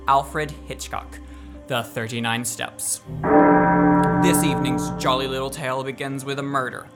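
A young man playing a droll, macabre radio playhouse host, deadpan and theatrically formal, in an old-time radio drama style. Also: medium pitch, lightly acted. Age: young adult.

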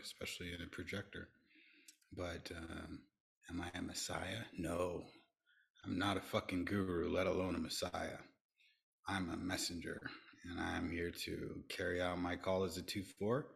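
A man's voice: deep voice, creaky, a bit bright. A middle-aged man speaking earnestly as a podcast guest, often restarting and repeating himself. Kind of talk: reflective interview talk.

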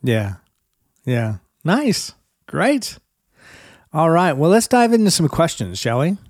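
A man's voice: a little high, very animated, slow pace, no room sound, clean studio sound. A middle-aged man hosting a business podcast, upbeat and encouraging as he welcomes and questions his guest. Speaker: podcast host.

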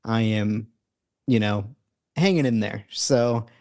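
A man's voice: on the low side, takes it slowly, darkish tone. A man co-hosting a casual sports podcast, talking loosely with lots of fillers and restarts. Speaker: podcast co-host. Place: remote recording, separate from co-host.

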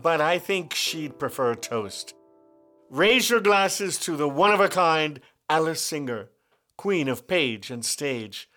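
A man's voice: on the high side, pushed and bright, slight background noise, heavily acted. An actor playing a theatrical, skeptical male stage performer in a radio play. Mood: grandly mournful. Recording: faint music behind the voice.

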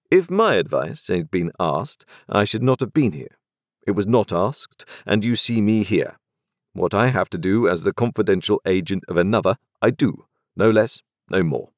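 A man reads an overbearing storybook lawyer who speaks in clipped, formal phrases and presses every question like a cross-examination. His voice sits higher and louder than the deep narration around it, and it swings widely in pitch. The sound is close and dry. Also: dry recording. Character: brusque, overbearing middle-aged male lawyer. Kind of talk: audiobook character voice.

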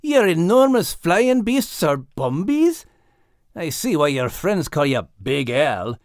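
A man voicing a small, sensible male dog in an audiobook, plain-spoken and a little gruff, with a Scottish accent. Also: a little high, sing-song, takes it slowly, no room sound, studio quality, pitched above the performer's own voice. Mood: amused scolding. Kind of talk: audiobook character acting.

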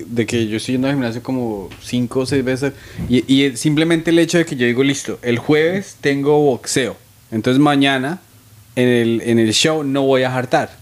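A man's voice: medium pitch, fairly even intonation, moderate pace. A man co-hosting a casual interview, asking questions and offering reflective comments.

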